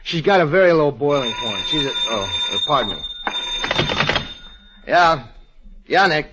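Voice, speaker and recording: An actor playing an unruffled, tough nightclub grifter in a 1940s radio crime drama, offhand and slangy. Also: male voice, a little high, big pitch swings, a little slow, noisy background. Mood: subdued. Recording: dull old radio recording, faint music.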